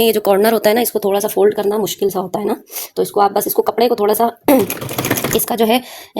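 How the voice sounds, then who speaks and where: A woman's voice: mid-range voice, a little mellow. Woman tutorial host with a sore throat, noisy recording. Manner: conversational, addresses viewers with frequent check-in tags. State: sore throat, frequent throat clearing. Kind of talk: informal step-by-step craft tutorial narration.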